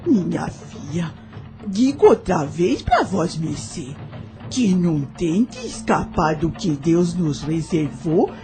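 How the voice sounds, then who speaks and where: A woman playing a wise, motherly older enslaved nanny in an audio drama, with old-fashioned rustic, consoling speech. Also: lowish voice.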